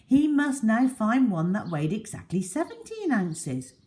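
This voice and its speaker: Slow, very expressive woman storyteller. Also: a little high. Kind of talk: expressive children's story reading.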